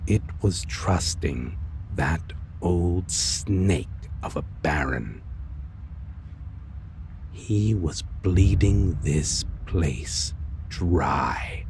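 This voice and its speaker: Super deep gravelly vampire, drags out every word, smug and taunting, noisy recording behind him. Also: male voice, lively intonation, darkish tone, full character voice. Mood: smug, scornful. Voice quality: deep, gravelly, breathy at times. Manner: slow, theatrical, savoring words with sinister amusement. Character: taunting, arrogant male vampire lord, formerly a hunter. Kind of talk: dark fantasy audio roleplay monologue.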